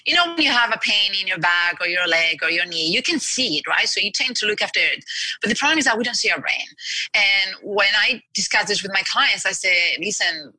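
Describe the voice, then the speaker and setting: A woman's voice: medium pitch, animated, fairly quick, pushed and bright, no echo, rough recording. A woman from Spain with a Spanish accent, a health coach earnestly explaining her work in an interview. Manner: earnest, explanatory, with small restarts. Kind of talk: interview answers.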